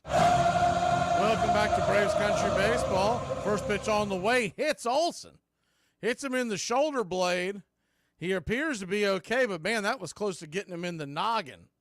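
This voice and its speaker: A man's voice: sits high, normal speed. A man giving live baseball play-by-play commentary in the style of a radio broadcast.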